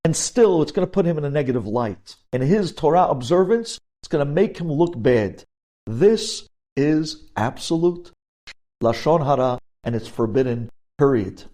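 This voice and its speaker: A man's voice: fairly high pitch, lively intonation, normal speed, dark tone. A man speaking as a rabbi giving a religious lecture, emphatic and direct, putting rhetorical questions to his listeners and using Hebrew religious terms. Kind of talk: sermon-like lecture with storytelling and acted-out dialogue.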